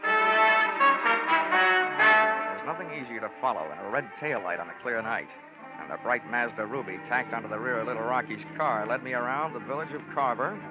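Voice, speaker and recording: A man narrating a 1940s radio detective drama in a dry, wry first-person voice. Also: mid-range voice. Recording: dull old radio recording with music.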